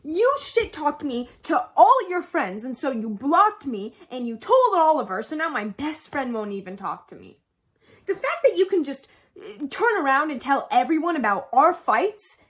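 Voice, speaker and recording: A woman's voice: high-pitched, sing-song, normal speed, a bit bright. A young woman acting an angry, accusing teenage girl in an emphatic stage monologue. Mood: angry, hurt. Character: furious, accusing teenage girl, spurned friend. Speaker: stage actor. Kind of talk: theatrical letter monologue.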